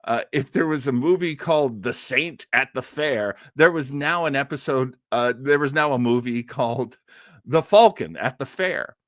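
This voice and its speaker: A man hosting a live listening show, telling stories in a casual, informative way with emphatic phrasing and dramatic pauses. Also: mid-range voice, a bit bright, no room sound, clean studio sound. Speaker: host of a live old-time radio listening show. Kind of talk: casual informative storytelling to an online audience.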